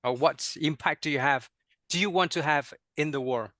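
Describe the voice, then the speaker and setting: Young Vietnamese-accented male teacher trainer on a video call; dry close sound, halting delivery with frequent "you know". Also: fairly high pitch, fairly bright tone, no room sound. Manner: many fillers and repeated words, checks in with "right?". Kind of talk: online workshop presentation.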